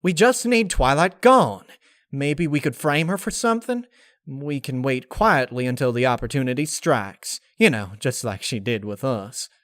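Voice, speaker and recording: A stubborn young farm mare from a dark cartoon-fantasy tale , performed by a man. The pitch is raised well up, and the delivery is earnest, insistent and folksy. The recording is very dry. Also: animated, normal speed, no room sound, close to an everyday voice. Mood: scheming, firm. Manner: insistent, folksy country phrasing.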